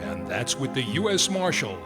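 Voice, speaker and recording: A grave and dramatic radio western announcer, acted by a man. His mid-pitched voice rises and falls portentously over loud music. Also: very animated, normal speed, natural voice.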